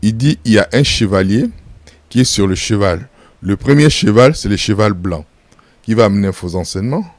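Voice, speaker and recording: A pastor from Congo, answering interview questions in an emphatic preaching style, full of repeated phrases and rhetorical questions. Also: male voice, lowish voice, dry recording. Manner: firm and insistent.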